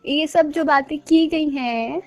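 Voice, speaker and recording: A woman's voice: high voice, slow pace, a little mellow, faint music behind. A woman in the role of a wife, confronting her husband in a casual, accusing way during an argument. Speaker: wife in a prank video.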